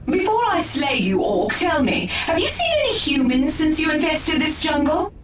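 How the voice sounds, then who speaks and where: A woman's voice: sits high, sing-song, normal speed, echoey room, far from the mic, music in the background. A woman voicing a haughty, commanding alien elf war leader in a video game, formal and imperious. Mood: cool, dismissive.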